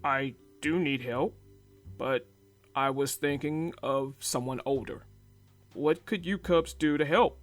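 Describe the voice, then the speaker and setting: A woman voicing a gruff, practical older male bear farmer in a children's storybook, talking in a slow, deliberate way. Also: a bass voice, soft background music, pitched below the performer's own voice. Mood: hesitant, pondering.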